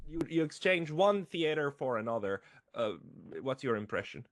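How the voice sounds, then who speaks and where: Male host, medium pitch, conversational, slightly halting as he frames questions for a guest. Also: big pitch swings. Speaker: interviewer, host.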